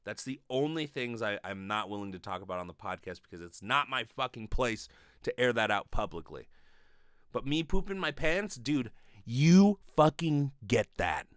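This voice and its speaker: Clear, dry-sounding man telling comedic stories. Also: mid-range voice, moderate pace, no echo. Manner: animated, profane, rambling storytelling. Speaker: podcast host. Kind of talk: comedic confessional monologue. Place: small bedroom, indoors. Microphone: close desk studio microphone with pop filter.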